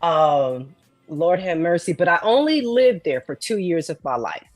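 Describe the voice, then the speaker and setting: A woman's voice: fairly low pitch, lively intonation, measured pace, a little mellow, dry recording, faint music behind. A woman, chatting casually and playfully as a guest. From Texas, United States. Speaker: guest, saxophonist. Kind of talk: casual chat. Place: indoors, virtual show video call.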